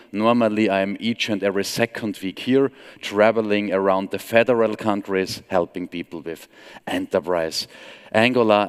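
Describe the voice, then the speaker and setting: A man in his forties from Austria with an Austrian German accent, giving a conference tech talk from a stage with a relaxed, wry, self-deprecating humor. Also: lowish voice, clean tone. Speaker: conference speaker. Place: large conference hall stage. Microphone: headset mic. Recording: long hall reverb.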